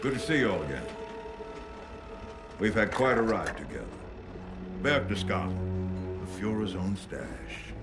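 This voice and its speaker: Formal commander, expressive pitch, loud music. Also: male voice, unhurried, pauses often. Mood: formal, assured. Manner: formal, authoritative. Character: authoritative male mission commander, formal and controlled. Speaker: commander briefing a team. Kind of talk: video game cutscene acting.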